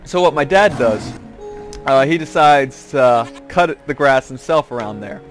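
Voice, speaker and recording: Rambling man telling stories, music behind. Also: medium pitch. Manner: rambling, halting, repeats and restarts phrases. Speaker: host of a gaming series. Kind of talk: casual storytelling over gameplay.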